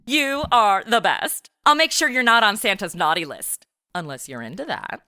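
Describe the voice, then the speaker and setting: Brash American superheroine: flippant, irreverent, lively swooping delivery, dry close sound, comic radio play. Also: female voice, mid-range voice, moderate pace, no echo. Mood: breezy, sarcastic. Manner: sarcastic, irreverent, breezy. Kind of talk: comedy audio drama acting.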